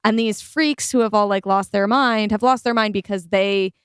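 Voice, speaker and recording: A woman's voice: medium pitch, steady tone, normal speed, clean tone, no echo, studio quality. A woman on a podcast panel, talking through ideas casually and analytically, with emphatic, informal phrasing.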